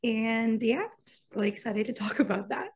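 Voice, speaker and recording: A young woman originally from Iraq talks as a podcast guest, relaxed and chatty. She laughs often and leans on fillers as she tells her story. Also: fairly high pitch, moderate pace. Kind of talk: casual interview chat.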